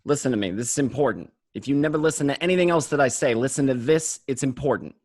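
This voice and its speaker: A man's voice: mid-range voice, fast talker, darkish tone, dry recording, studio quality, lightly acted. A man acting as a stressed, bossy male criminal in an amateur script read, insistent and repeating himself. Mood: insistent, nagging. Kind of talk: amateur online table read of a film script.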